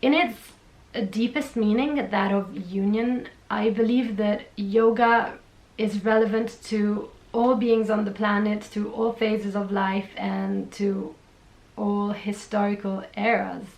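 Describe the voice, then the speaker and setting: A woman's voice: mid-range voice, steady tone, takes it slowly. A young woman from Italy with an Italian accent, chatting through a Q&A on her own channel. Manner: bright and chatty, reads out questions then answers at length. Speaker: vlogger. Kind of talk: casual Q&A vlog. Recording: echoey room.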